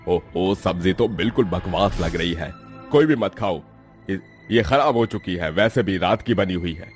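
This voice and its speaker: Guy acting as grumbly old grandpa in a cartoon story, low voice, blunt, music underneath. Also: lively intonation, moderate pace. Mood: disgusted. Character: blunt grumbling elderly grandfather of poor family. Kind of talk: Hindi cartoon story dubbing.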